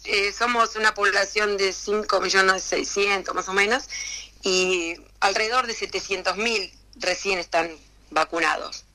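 A woman with an Argentine Spanish accent answers questions in an easy, chatty way. Her voice is very bright and bright-edged. She often hesitates, trailing off and starting again. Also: mid-range voice, fairly even intonation, measured pace, low-quality recording. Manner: hesitant, many fillers and restarts. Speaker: interview guest. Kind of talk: casual conversational interview.

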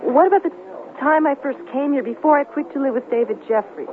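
A woman's voice: sits high, brisk, lightly acted. Actress as a young woman, tinny radio. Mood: tentative. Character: sensitive but resolute young working woman. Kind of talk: 1970s radio drama acting. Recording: tinny, hissy 1970s radio broadcast.

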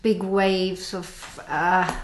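A woman's voice: on the low side, fairly even intonation. Reflective woman with a Bulgarian accent. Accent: Bulgarian with a New Zealand twang. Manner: reflective, halting, many fillers. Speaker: author guest. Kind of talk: interview conversation. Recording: echoey room, faint noise.

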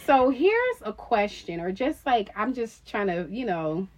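A woman in her thirties with a fairly high voice that swings up and down in lively fashion. She talks bluntly and in slang, like a friend chatting on a casual podcast. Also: measured pace. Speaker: podcast co-host. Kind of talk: casual chat between friends. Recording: dull, lo-fi sound.

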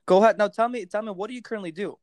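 A man hosting a podcast, enthusiastic and quick to laugh, often repeating his words. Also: high voice, dry recording. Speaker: podcast host. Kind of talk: casual interview chat.

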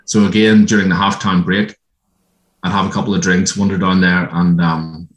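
An Irish man speaks as a guest in an interview, in a low voice. He talks in a reflective way and stops often to gather his thoughts. The sound is roomy and echoey. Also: level tone. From Ireland. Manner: reflective, halting with ums. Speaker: guest, former gambling addict. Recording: roomy echo.

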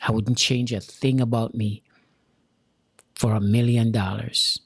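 A Jamaican-accented man from Jamaica giving an earnest, deliberate motivational talk straight to his viewers, repeating key lines for emphasis. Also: medium pitch, flat delivery, gravelly, breathy edge, dry recording. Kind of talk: motivational direct-to-camera talk.

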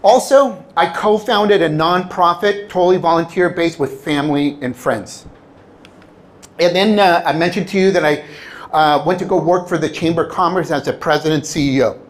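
Man, native Spanish speaker, high voice, animated storyteller, personal talk to a crowd. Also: moderate pace. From Southern California. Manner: animated storytelling, acts out quoted dialogue. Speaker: public speaker. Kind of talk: motivational talk to an audience.

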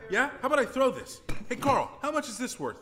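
A man's voice: extremely high pitch, very animated, a little fast, noisy background. A man acting a cocky, easily deflated character in an audio drama, over music. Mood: cocky, playful.

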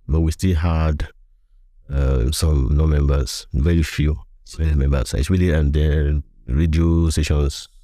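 A man's voice: a bass voice, mellow, no room sound, clean studio sound. A middle-aged man with a Nigerian accent, recounting past events in an interview, often repeating and rephrasing as he goes. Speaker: guest, veteran voiceover artist.

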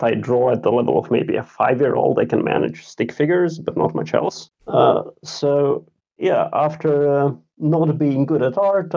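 A man in his thirties from Latvia, a game designer talking about himself in a casual podcast interview, halting and self-deprecating. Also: mid-range voice, gravelly, dark tone, highs cut off. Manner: halting, many filler sounds, self-deprecating. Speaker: game designer and programmer, guest.

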